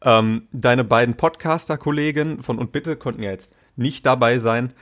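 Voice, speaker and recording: A man's voice: medium pitch, normal speed, fairly bright tone. A young man hosting a podcast interview in a casual, chatty, joking way, with frequent filler sounds. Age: young adult.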